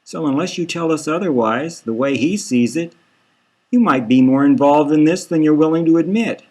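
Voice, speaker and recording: A man voicing a guileful female crime fighter who coaxes a suspect kindly in a dark satirical audio novel. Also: a little high, moderate pace, pitched above the performer's own voice, close to an everyday voice. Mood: coaxing. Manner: coaxing, soothing, leading questions.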